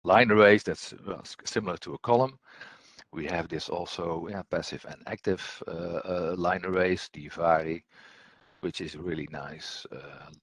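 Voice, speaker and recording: Low, husky man giving halting technical training. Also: fairly bright tone, dry recording. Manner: hesitant, halting, with frequent ums and repeated words. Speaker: product training instructor. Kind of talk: technical training presentation, explaining from slides.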